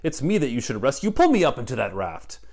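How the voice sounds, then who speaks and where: A man reading aloud puts on a blustering circus boss from a storybook. He is demanding and selfish, barking imperious orders at everyone. The delivery is loud, with pitch that moves in big swings. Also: wildly swooping pitch, quick pace. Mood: indignant. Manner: shouting, imperious.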